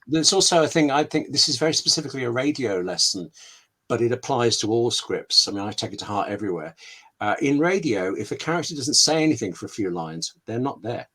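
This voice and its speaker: A British man speaking as a guest scriptwriter, giving conversational writing advice in an emphatic, discursive way with dramatic pauses. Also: medium pitch, expressive, fairly bright tone.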